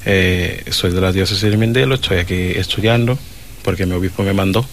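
A man from Verde, a seminarian, telling his vocation story with many pauses and humming fillers. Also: low-pitched, a little hiss or hum. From Cape Verde. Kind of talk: personal testimony.

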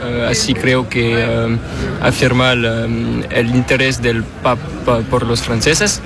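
A young male student answering a street interview outdoors, halting with many fillers and restarts. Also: mid-range voice, flat delivery, a crowd in the background. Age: young adult. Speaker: student passer-by.

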